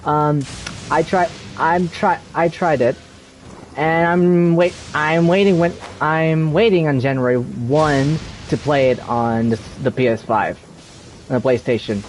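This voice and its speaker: A woman chatting casually while playing a video game, halting and repeating her phrases. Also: sits low, darkish tone, a little hiss or hum. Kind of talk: casual chat over gameplay.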